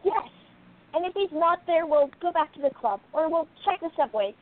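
A woman plays a young princess detective in a radio drama. She is earnest, determined and insistent, with a very high-pitched voice on thin, phone-like audio. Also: expressive, normal speed. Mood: urgent, insistent. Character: determined young princess turned amateur sleuth. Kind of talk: radio play acting.

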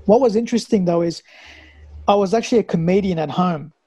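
A man's voice: high-pitched, quick pace, mellow, breathy edge, no echo. A man, an interview guest reflectively telling his own story in a conversational way. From Australia, born in Afghanistan. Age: 40s. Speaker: interview guest, health practitioner and mentor.

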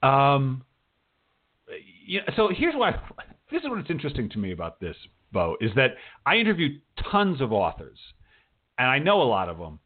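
An American man hosts a radio show with a lively, swinging delivery. He talks informally, dropping in emphatic asides and echoing his own phrases. The sound is narrow and tinny, like a phone line. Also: medium pitch, moderate pace. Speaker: radio show host and writing teacher. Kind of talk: chatty show introduction and interview.

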